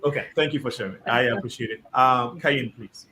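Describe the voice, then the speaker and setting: A man hosting a live discussion show, reading out viewer comments and guiding his guests in a measured, deliberate way. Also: mid-range voice, a crowd in the background, poor audio. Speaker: host.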